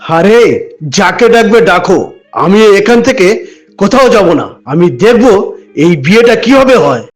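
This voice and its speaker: A man's voice: high-pitched, very animated, unhurried, soft background music. A man voicing a pushy, leering village suitor who bullies and threatens in a cartoon. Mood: angry, threatening. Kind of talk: cartoon voice acting.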